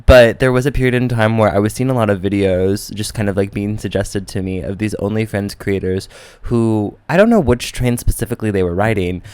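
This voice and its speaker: A young man co-hosting a casual podcast, animated and giggly, jumping in with quick remarks. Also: on the low side, smooth, no echo. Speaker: podcast co-host. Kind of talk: casual chat.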